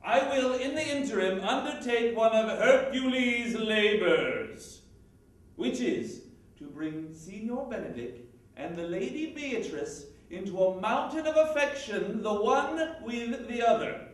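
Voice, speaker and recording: In a very echoey room, far from the mic, a man plays a high-voiced, jovial nobleman. He is a playful schemer, declaiming like a Shakespearean stage matchmaker. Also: expressive, measured pace. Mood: playful, exuberant. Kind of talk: theatrical Shakespeare monologue.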